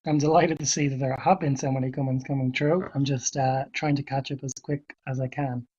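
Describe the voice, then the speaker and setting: A man with a cold presenting to a webinar, speaking in a measured way and thinking aloud with fillers and restarts. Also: medium pitch, level tone, darkish tone, breathy edge. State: hoarse from a cold. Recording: dull lo-fi webinar audio.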